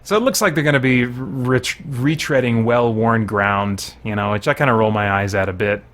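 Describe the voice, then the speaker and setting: A man's voice: mid-range voice, moderate pace, a bit bright, a little hiss or hum. Candid man reacting to a video game. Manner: candid, conversational, thinking aloud. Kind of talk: casual first-impressions gameplay commentary.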